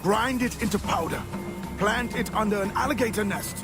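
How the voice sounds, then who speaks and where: An actor voicing a stern, paranoid, embittered mentor of assassins in a video game, curt and scolding. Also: male voice, sits high, normal speed, over music. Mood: angry, dismissive. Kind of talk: dramatic video game voice acting.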